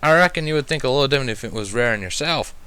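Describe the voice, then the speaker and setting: A man's voice: medium pitch, animated, rapid-fire, bright, forceful tone, clean tone, voice pushed up. A man voicing a plainspoken, teasing country farm mare in a story reading. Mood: amused, teasing. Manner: plainspoken, amused, folksy. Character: frank, teasing country farm mare, adult female pony.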